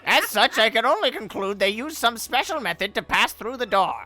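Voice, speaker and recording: A man's voice: very high voice, expressive, fairly quick, pushed and bright, soft background music, pitched above the performer's own voice, full character voice. A man putting on a perky girl sidekick. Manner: reads game dialogue aloud in a raised, laughing voice.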